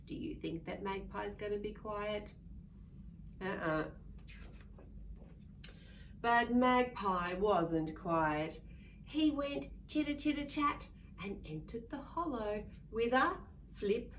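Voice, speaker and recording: A woman reading a picture book aloud to young children in a playful, expressive way, drawing out words and acting out sounds. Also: mid-range voice. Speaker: children's story reader. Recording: distant mic with faint background noise.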